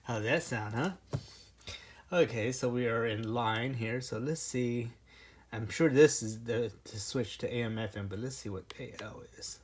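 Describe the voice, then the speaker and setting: A casual reviewer with a medium-pitched voice talks through a product unboxing. The delivery is informal, like someone thinking aloud while handling things. The recording has clear noise in it, with small clicks. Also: a little slow.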